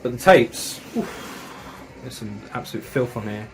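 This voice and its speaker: A man's voice: mid-range voice, animated, measured pace, over music, low-quality recording. Chatty man raving about metal. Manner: enthusiastic, chatty, with British slang and swearing. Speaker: metal music reviewer. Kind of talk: casual review of records and tapes.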